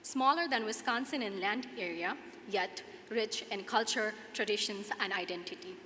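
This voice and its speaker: A woman's voice: mid-range voice, moderate pace, bright, forceful tone. Young woman w/ nepali accent doing a grad speech, earnest, echoey hall, some noise behind her. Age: 20s. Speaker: student commencement speaker. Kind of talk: prepared commencement speech. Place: large hall at a podium, audience ambience.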